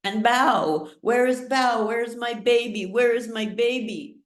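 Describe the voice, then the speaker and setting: A woman's voice: on the high side, measured pace, reverberant, voice pushed up, natural voice. A woman voicing a frantic, grief-stricken mother in a storybook reading, crying out and repeating herself. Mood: desperate. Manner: wailing, exclamatory, repeating herself.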